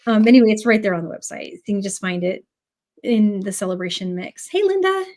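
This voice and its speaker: A woman's voice: mid-range voice, lively intonation, fairly quick, a little mellow. A woman with a cold teaching a live beading class, chatty and full of ums as she greets viewers. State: has a cold. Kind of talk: casual live tutorial.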